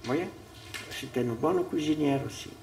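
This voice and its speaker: An older man, a retired pizza maker, reminiscing slowly in a casual interview. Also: a little high, creaky, darkish tone, far from the mic, slight background noise. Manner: slow, reminiscing.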